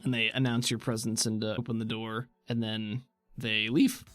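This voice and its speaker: A man's voice: on the low side, level tone, normal speed, a bit bright, dry recording. Male game master narrating over faint music. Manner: descriptive, conversational. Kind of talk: improvised tabletop game narration.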